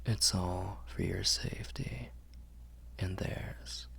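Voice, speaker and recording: A man playing a protective, doting vampire lord in an intimate ASMR roleplay, with courtly phrasing and a breathy, gravelly, soothing voice. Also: low-pitched, slow pace, a bit bright, exaggerated voice. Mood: calm, protective.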